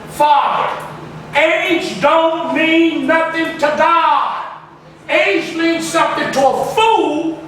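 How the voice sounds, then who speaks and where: Man, sixties, emphatic sermon delivery, bright sharp voice, recorded from a distance in a very echoey room. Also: very high-pitched. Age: 60s. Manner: emphatic, repeats phrases insistently. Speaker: preacher. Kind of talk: sermon, Bible teaching. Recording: echoey room, distant mic, faint noise.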